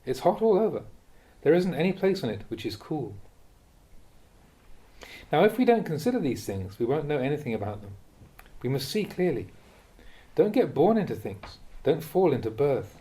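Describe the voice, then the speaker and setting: A man reading a Buddhist teaching aloud as a monk, in a measured, deliberate way with emphasis on key phrases. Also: on the high side, big pitch swings, warm tone. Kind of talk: read-aloud dharma talk.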